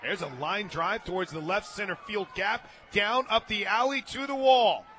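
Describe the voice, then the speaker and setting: Higher-voiced American man calling softball on radio. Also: normal speed. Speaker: radio play-by-play announcer. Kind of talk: live softball radio commentary, chatty between pitches. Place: stadium radio booth, open to outdoor noise. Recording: clear outdoor ballpark noise.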